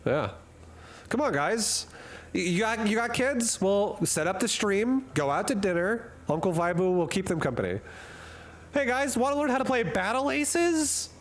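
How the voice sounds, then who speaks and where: A man's voice: high voice, big pitch swings, normal speed. A man streaming a game from a hot room at home, chatting casually and rambling through jokes while he reads chat and laughs often.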